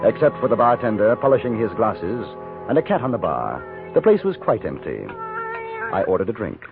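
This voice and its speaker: An actor playing a polite, wry English gentleman reporter with an English accent, measured and understated, in radio drama style. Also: lowish voice, sing-song, vocal fry, music in the background. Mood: measured storytelling. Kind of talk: first-person radio drama narration and dialogue. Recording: narrow-band 1950s radio recording.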